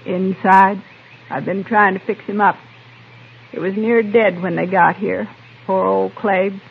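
Creaky, blunt frontier wife. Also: female voice, medium pitch, steady tone, unhurried, exaggerated voice. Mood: bitter, stoic. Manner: blunt, folksy, clipped phrases. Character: hard, plain-spoken frontier homestead wife, bitter and stoic. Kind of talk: old-time radio western acting. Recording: narrow-band vintage radio recording.

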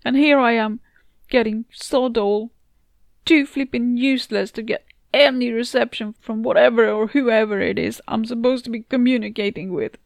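A narrator acts out a British woman at the end of her tether in a dramatic story. Her voice sits fairly high, emphatic and full of self-doubt. It is recorded dry and close. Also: normal speed, creaky, no echo. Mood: frustrated, anguished. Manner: anguished, self-berating, emphatic. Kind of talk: dramatic first-person story dialogue.

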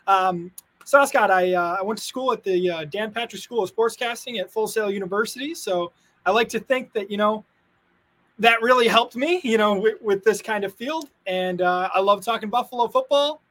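A man guesting on a live sports talk show, his voice sitting high for a man. He comes across friendly yet slightly jittery, pausing and hesitating as he introduces himself. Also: fairly bright tone. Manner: friendly, a little nervous and halting. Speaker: guest podcaster. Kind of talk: casual chat.

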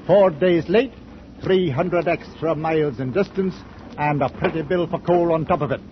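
A noisy, dull 1940s radio recording of a man playing an old merchant captain. He is stubborn and literal-minded, speaking tersely and pompously in a fairly high male voice. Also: moderate pace, lightly acted. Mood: stubborn, commanding. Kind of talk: 1940s radio drama acting.

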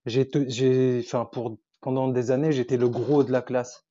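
A man's voice: mid-range voice, flat delivery, normal speed, warm tone, muffled recording. A young man from Tunisia whose first language is Arabic, chatting as a podcast guest and hesitating often as he searches for words. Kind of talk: casual interview chat.

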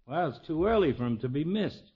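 Wisecracking, folksy regular Joe with a soft heart male actor, 1950s radio comedy, animated higher-pitched delivery. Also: fast talker, lightly acted. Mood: sympathetic. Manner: folksy, slangy, ungrammatical. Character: brash, wisecracking, soft-hearted small-town regular guy. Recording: muffled vintage 1950s radio recording.

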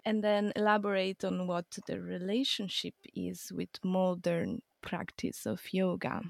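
A woman's voice: fairly low pitch, unhurried, gravelly, dry recording, studio quality. A woman hosting a podcast on yoga, welcoming her guest and asking plain questions in a friendly way. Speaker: podcast host. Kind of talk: podcast interview.

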